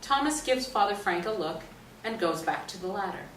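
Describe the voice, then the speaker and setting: A woman's voice: medium pitch, animated, normal speed, a bit bright. A woman reading scripted stage directions as the narrator of a play reading. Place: echoey indoor stage or hall. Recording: echoey room, distant mic, faint noise.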